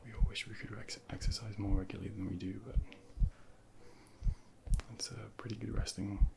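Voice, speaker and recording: A man softly whispering a medical ASMR role play as a junior doctor, gently reassuring and instructing, close to a binaural microphone. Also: on the low side, little pitch movement, moderate pace. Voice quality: breathy whispering, gravelly.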